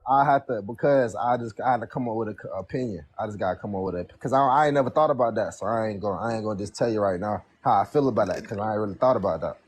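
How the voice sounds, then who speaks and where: A man's voice: on the low side, racing, few pauses, a little hiss or hum. A nineteen-year-old man calling in to a debate, talking in casual slang and repeating himself defensively. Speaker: caller.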